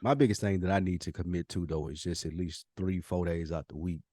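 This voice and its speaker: A man co-hosting a podcast in casual chat, blunt and profane, getting animated when riled up. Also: low voice, fairly quick, slightly rough, dry recording. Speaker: podcast co-host.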